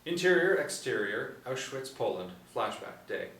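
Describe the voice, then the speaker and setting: A man's voice: medium pitch, very animated, moderate pace, fairly bright tone. A middle-aged man reading screenplay stage directions aloud as narrator at a table reading. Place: small indoor room. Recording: roomy, distant mic, light room noise.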